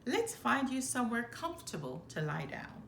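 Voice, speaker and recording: A woman voicing an eager, devoted young male circus mouse in an earnest, expressive storybook read-aloud. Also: a little high, moderate pace, creaky, full character voice. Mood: determined, caring. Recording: roomy echo, faint background noise.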